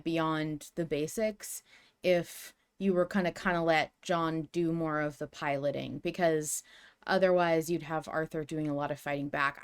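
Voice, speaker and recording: A woman's voice: deep voice, smooth. A woman co-hosting a podcast, reasoning and speculating aloud in a casual discussion. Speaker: podcast co-host.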